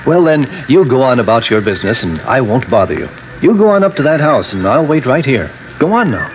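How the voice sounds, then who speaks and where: An actor playing an anxious middle-aged father in an old radio drama, earnest, folksy, halting and pleading. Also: medium pitch, very animated, natural voice. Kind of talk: vintage radio drama acting. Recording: dull, noisy old radio recording.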